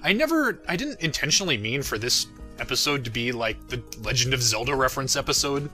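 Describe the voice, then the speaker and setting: A man's voice: medium pitch, sing-song, fairly quick, bright, forceful tone, faint music behind. A man giving casual, unscripted commentary as the creator of a fan parody series, self-deprecating and irreverent, swearing freely and often chuckling as he talks.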